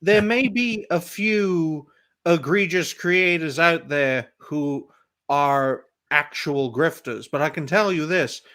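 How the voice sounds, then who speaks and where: A man in his mid-twenties hosting a livestream chat, crude and quick with his remarks. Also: on the high side, a bit bright. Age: 20s. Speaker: livestream host. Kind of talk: casual podcast chat.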